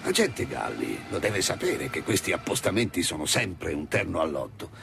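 An actor playing a dogged, world-weary middle-aged police commissioner in a radio drama. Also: male voice, deep voice, sing-song, moderate pace, creaky, a bit bright, slight background noise, full character voice. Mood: weary, watchful. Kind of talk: naturalistic radio drama acting.

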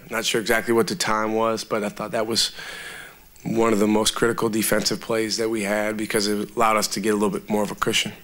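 A man in his thirties, a college basketball coach, answering reporters at a post-game press conference in a steady, measured way with many fillers, on a table microphone. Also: lowish voice. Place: press room before a sponsor backdrop.

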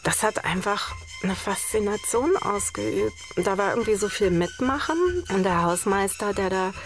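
A woman's voice: mid-range voice, moderate pace. A woman giving a casual spoken recollection as an interviewee. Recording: music under the voice.

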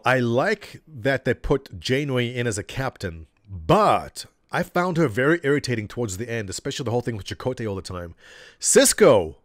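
A man's voice: mid-range voice, no room sound, studio quality. A man in his thirties answering viewer questions in a casual, jokey way at his home desk, speaking into a desk microphone. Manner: animated, jokey, reads questions aloud. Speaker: gaming community leader answering viewer questions. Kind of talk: casual webcam Q&A. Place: home office, seated in gaming chair. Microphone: desk condenser mic in front of him.

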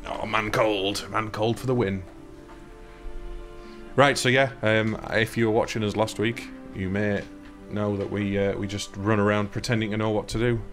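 Guy hosting a game stream, lowish voice, headset mic, bit of music under it. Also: flat delivery, normal speed, a bit bright. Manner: chatty, easily baffled, laughs at himself. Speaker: host. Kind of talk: casual gaming chat. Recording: faint game music behind.

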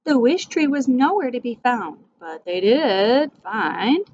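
Female teacher, middle-aged, unhurried and very animated storybook delivery, slightly dull recording. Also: a little high. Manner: expressive, addressing children directly. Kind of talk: storybook read-aloud for young children. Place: small indoor room with whiteboard. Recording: dull sound, faint background noise.

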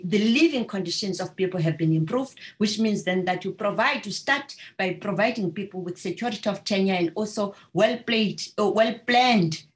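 A middle-aged woman with a South African accent, giving measured panel remarks on a video call, often pausing with fillers. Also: mid-range voice. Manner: measured, many filler pauses. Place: indoor room at home. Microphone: video call webcam.

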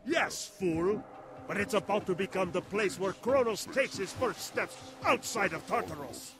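A high-voiced, gloating villain, fanatically devoted to his dark master. It is theatrical game cutscene acting over prominent music. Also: lively intonation, measured pace. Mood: gloating, fervent.

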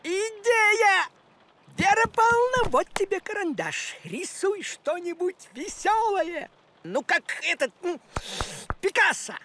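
A man's voice: very high voice, lively intonation, slow pace, pushed and bright, a little hiss or hum, heavily acted. A man voicing a thrifty, business-minded talking cat in a cartoon, bossy and emphatic, given to grumbling. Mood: excited, bossy. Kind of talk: animated cartoon voice acting. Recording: music behind the voice.

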